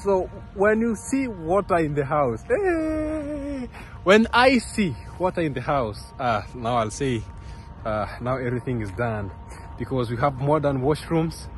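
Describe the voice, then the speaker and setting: Young man from Kenya, earnest vlog talk, mid-high voice, walking outdoors by a road, faint traffic behind. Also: sing-song, a little slow. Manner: earnest, rambling, with many restarts and fillers. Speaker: vlogger. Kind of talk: casual vlog talk to camera. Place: outdoors on a park path beside a road. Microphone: phone camera held close.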